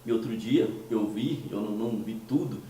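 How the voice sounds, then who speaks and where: A man from Minas Gerais with a Mineiro Brazilian Portuguese accent, hoarse from a cold, hosting a conversational commentary monologue with hesitant restarts and rhetorical questions. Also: fairly low pitch, normal speed, warm tone, very echoey, recorded from a distance, slight background noise, poor audio. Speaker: host of a commentary show.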